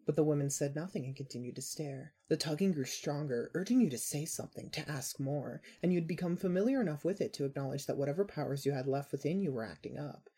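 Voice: medium pitch, lively intonation, fast talker, hardly any pauses, warm tone, breathy edge. A podcast narrator reading a scripted second-person story aloud. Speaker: narrator of a fan fiction podcast.

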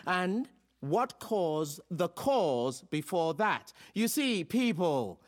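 A man's voice: high voice, big pitch swings, drawn-out words, heavily acted. An actor playing a playful, provocative male philosophy professor in an audio drama, lecturing theatrically with rhetorical flourishes. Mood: probing, rhetorical. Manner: theatrical, rhetorical, addresses a class like a host.

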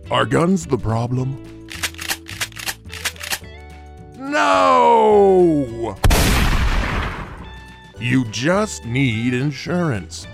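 A man playing a gleefully callous, mock-sincere insurance pitchman in a satirical radio commercial, with exaggerated emphasis and dramatic pauses. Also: big pitch swings, gravelly, music in the background, full character voice. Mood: upbeat salesman. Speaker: announcer.

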